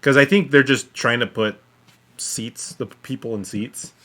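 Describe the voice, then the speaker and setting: Bright-voiced man in casual debate. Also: medium pitch. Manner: earnest, halting, repeats himself. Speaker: podcast co-host. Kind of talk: casual film discussion.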